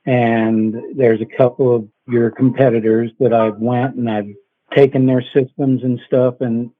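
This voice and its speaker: A man's voice: medium pitch, flat delivery, measured pace, dry recording. Male caller on a tinny phone line. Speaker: caller giving a testimonial. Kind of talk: unscripted spoken testimonial.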